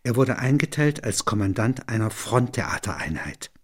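A man's voice: medium pitch, sing-song, moderate pace. A man narrating a scripted literary story as its reader. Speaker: narrator reading literary prose.

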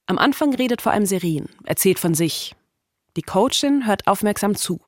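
A woman's voice: medium pitch, lively intonation, normal speed, dry recording. A woman in her thirties narrating a scripted documentary podcast as its host. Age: 30s.

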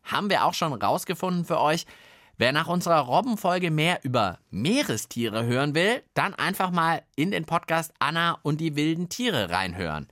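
A man's voice: on the high side, sing-song, normal speed, a bit bright, no room sound, studio quality. A man co-hosting a children's science podcast with chatty explanations. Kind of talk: lively explanatory chat.

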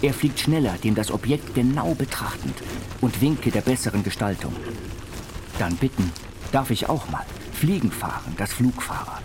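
A man reciting literary text in a measured, declamatory way for an audio biopic. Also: medium pitch, expressive, background noise, lightly acted. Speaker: reader of an inventor's writings. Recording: studio voice under loud music. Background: loud instrumental music.

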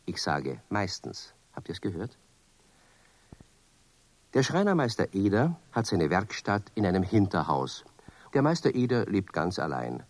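A man's voice: low voice, very animated, unhurried, pauses often, a little mellow. A man narrating a children's radio play, addressing listeners with questions and playful emphasis.

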